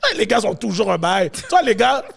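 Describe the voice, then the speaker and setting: A man hosting a casual podcast, joking around and mixing French with English slang. His voice sits high and swings widely in pitch. It has a gravelly rasp. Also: very animated, brisk. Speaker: podcast host.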